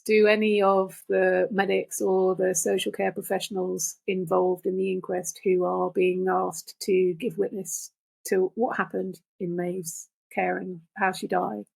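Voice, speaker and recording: An older woman, a podcast guest, talking reflectively and earnestly in deliberate phrases. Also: lowish voice, flat delivery, creaky, darkish tone, slightly rough, poor audio. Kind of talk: reflective conversational talk.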